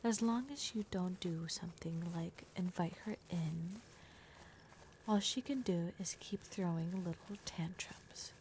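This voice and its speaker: Woman doing a gruff caring werewolf girl, asmr roleplay, hushed n whispery, slow talking, noisy ambience behind. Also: lowish voice, lightly acted. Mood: protective, soft. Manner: hushed, blunt, often slipping into whisper. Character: gruff, protective young werewolf girl, exasperated but caring.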